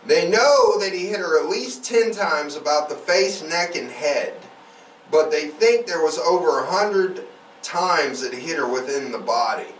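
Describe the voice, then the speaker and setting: Higher-voiced male podcaster in a roomy space. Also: fairly bright tone. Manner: informal, opinionated, emphatic. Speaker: podcast host. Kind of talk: true-crime storytelling with casual commentary. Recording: roomy, distant mic, faint noise.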